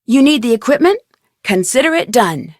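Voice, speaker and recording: A plucky young female sleuth in a video game. Her voice is fairly high, earnest and expressive. The recording is dry and close, with each line clearly spoken. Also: normal speed, dry recording. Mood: determined, earnest. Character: inquisitive, plucky young female amateur detective.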